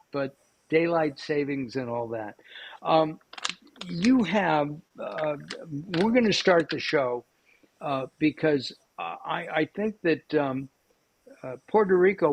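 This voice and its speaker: Slow, rambling male cooking host. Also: a little high, a little hiss or hum, rough recording. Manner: rambling, many fillers and restarts. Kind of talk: casual cooking show talk.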